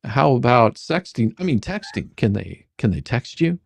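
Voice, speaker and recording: A performer doing a comedic dramatic reading as a rambling, halting, faux-folksy lawyer questioning a witness in a deposition. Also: mid-range voice, sing-song, vocal fry, no echo. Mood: mock sarcastic. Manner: halting, repetitive, mock-sarcastic, stumbling over questions.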